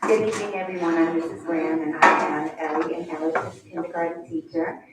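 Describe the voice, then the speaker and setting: A woman's voice: low-pitched, fairly even intonation, fairly quick. A woman kindergarten teacher patiently coaxing young students through a classroom demonstration at a school board meeting. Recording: echoey room, distant mic, background noise.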